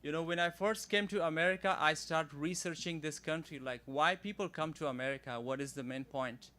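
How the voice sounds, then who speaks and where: A man from Afghanistan, a parent giving an earnest, halting public comment at a podium microphone in a school board meeting room. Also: a little high, bright, forceful tone. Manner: earnest, halting with frequent fillers.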